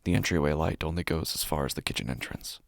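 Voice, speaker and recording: Guy acting as uneasy city man telling a scary story, really low voice, lots of fry, hushed and deliberate. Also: no room sound, clean studio sound. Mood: tense, subdued. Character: uneasy city dweller recounting a creepy homecoming. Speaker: narrator of a horror fiction podcast. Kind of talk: scripted first-person horror narration.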